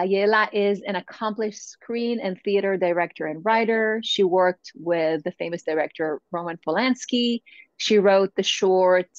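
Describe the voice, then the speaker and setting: A woman's voice: on the low side, dry recording. A woman hosting a podcast, earnestly introducing a guest. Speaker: podcast host. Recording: dull, muffled sound.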